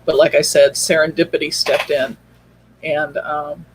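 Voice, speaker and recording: A woman's voice: deep voice, little pitch movement, unhurried, slight background noise, poor audio. An older woman telling personal stories casually and earnestly as a podcast guest on a video call, a little hesitant. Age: older, baby boomer. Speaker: podcast guest, show producer.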